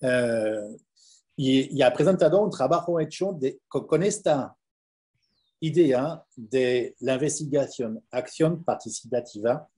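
A man with a French accent speaking Spanish as a conference presenter on a video call, halting with many repeated words and fillers. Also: mid-range voice, animated, dark tone. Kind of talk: academic Q&A over video call.

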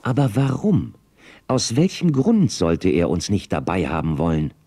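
An actor playing an observant deputy who narrates a western audio drama in dramatic first person. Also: male voice, low-pitched, animated, measured pace, vocal fry, mellow, husky, full character voice. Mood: suspicious, intriguing. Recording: noisy transfer of old audio drama.

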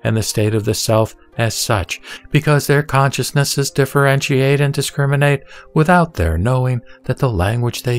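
A man voicing a serene, authoritative holy teacher in a scripture audio drama, solemn, didactic and measured. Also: on the low side, natural voice.

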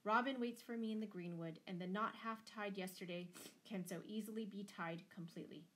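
A woman's voice: mid-range voice, fairly quick, fairly bright tone, natural voice. Young noble heroine of a storybook, played by a woman reader: bold, unyielding, self-possessed and spirited. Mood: firm. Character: bold, defiant young noblewoman, calm and gaily stubborn. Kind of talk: dramatised storybook reading.

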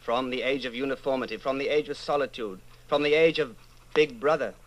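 An earnest, troubled man played by an actor with a mid-pitched voice. He sounds like a solitary secret rebel in a radio-style drama. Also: a little fast, lo-fi sound, lightly acted. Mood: brooding. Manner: earnest, brooding. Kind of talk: old time radio style drama acting.

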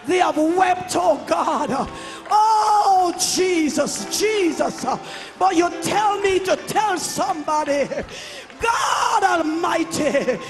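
A male preacher delivers a fervent charismatic sermon in a very high, shouted voice. He declaims slowly and repeats phrases for emphasis. Music plays prominently behind him. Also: animated. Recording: live worship service with music and crowd. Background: music and congregation.

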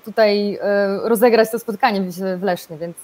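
A woman's voice: mid-range voice, normal speed, darkish tone. A woman, discussing sport casually on a video call as a presenter. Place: indoor room at home, video call. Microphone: video call microphone.

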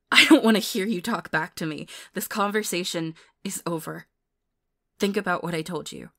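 A woman's voice: medium pitch, a bit bright, lightly acted. A woman playing a bitter, protective mother in a dramatic audio roleplay, with a confrontational, intense manner. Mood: stern, hushed.

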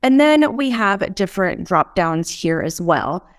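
A woman in her thirties explaining software step by step as a trainer, often checking in with short tag questions. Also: lowish voice, big pitch swings, measured pace, no room sound, clean studio sound. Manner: explanatory, checks in with "right?" and "okay?". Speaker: software trainer in customer support. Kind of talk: instructional screen-share walkthrough. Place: indoor room.

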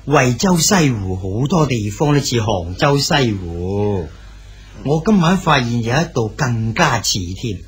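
An actor with a Cantonese accent playing an aging exiled male poet, gentle and fatherly in a radio drama. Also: medium pitch, very animated, a little slow. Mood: calm. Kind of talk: 1980s Hong Kong radio drama acting.

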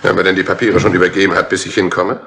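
An actor playing a proud, sly small-time crook with mock-lofty airs in a 1960s radio crime play. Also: male voice, low-pitched, little pitch movement, very fast, fairly bright tone, slight background noise. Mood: puzzled. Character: proud, sly small-time male pickpocket, self-styled idealist.

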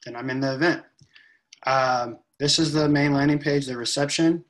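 A young man giving a relaxed step-by-step tutorial, with frequent ums, recorded on a laptop webcam in a room at home. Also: medium pitch, normal speed, rough recording. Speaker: event staff giving a tutorial. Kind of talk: casual screen-share walkthrough.

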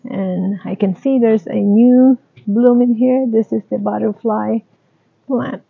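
A woman giving a casual tour of her garden, talking in a slow, halting, plain way. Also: on the high side, steady tone, vocal fry, breathy edge, no room sound. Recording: dry, tinny phone-like sound.

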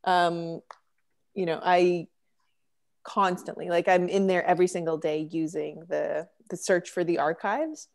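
A woman's voice: lowish voice, fairly even intonation, unhurried, a little mellow. Chatty young woman on a video call. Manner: chatty, frequent fillers and laughs. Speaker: magazine editor-in-chief. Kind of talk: casual video call chat. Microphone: earbud headset on video call.

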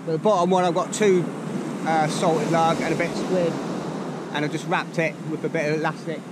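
Older man, Norfolk accent, chatty tackle talk, slightly high voice, outdoor beach recording, loud surf. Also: fairly quick, very noisy. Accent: Norfolk English. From England. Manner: relaxed, chatty, explaining tackle step by step. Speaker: fishing channel host. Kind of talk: casual chat to camera. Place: open beach at the sea, outdoors. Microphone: action camera close by. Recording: outdoor camera audio over loud surf. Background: loud surf and waves.

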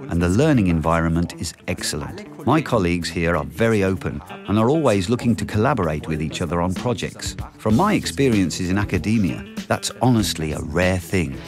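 Lively, low-voiced middle-aged professor. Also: male voice, fairly quick, few pauses. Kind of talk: interview about teaching and labs. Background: background music.

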